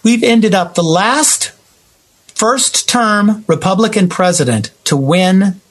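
American man, high-pitched and very lively, husky edge, emphatic explainer in casual discussion. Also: takes it slowly. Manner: emphatic, explanatory, repeats phrases for stress.

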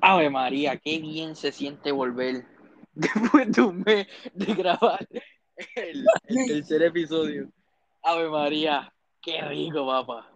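A man's voice: fairly high pitch, takes it slowly, creaky, a crowd in the background. Laid-back guy, lo-fi. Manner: laughing, rambling, mixing English phrases. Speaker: podcast co-host. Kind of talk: casual chat about movies and basketball. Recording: dull lo-fi sound.

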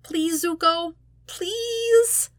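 A woman's voice: very high voice, extremely slow, dry recording, pitched above the performer's own voice, exaggerated voice. A woman voicing a pleading, pouting young man with a whiny, exaggerated delivery in a story reading.

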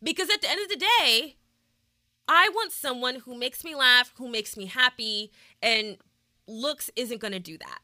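Young woman from texas, podcast host, super bright sharp voice, kinda high, chatty. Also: unhurried, dry recording, studio quality. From Texas, USA. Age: young adult. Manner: chatty and frank, with frequent fillers and laughs. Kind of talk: casual podcast chat.

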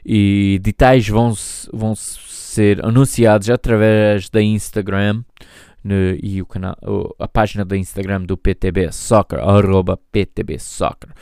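A man's voice: fairly low pitch, steady tone, a little slow, vocal fry, darkish tone, clear, resonant tone, dry recording, studio quality. A man hosting a solo podcast, speaking hesitantly, often restarting and searching for words, as English is his more comfortable language. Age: 30s. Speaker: podcast host.

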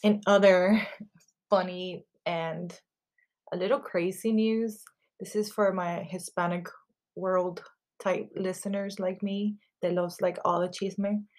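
Host, woman, 30s, mellow lowish voice, chatty gossip delivery with fillers and cussing. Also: steady tone, takes it slowly. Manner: chatty, full of "like" fillers and casual swearing. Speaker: podcast host. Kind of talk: casual gossip podcast monologue.

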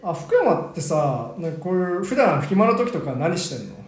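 A man's voice: sits high, sing-song, moderate pace, a little mellow. A man playing a young part-time worker making friendly, curious small talk in an amateur radio skit. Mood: curious, polite. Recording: roomy, echoey room sound.

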